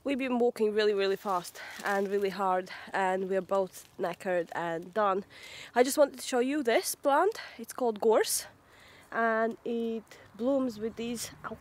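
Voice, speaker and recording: A woman's voice: medium pitch, measured pace. A woman in her thirties chatting casually in a vlog, giggling and thinking aloud as she goes. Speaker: homesteading vlogger. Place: woodland outdoors, then home kitchen. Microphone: camera mic, handheld close then fixed camera.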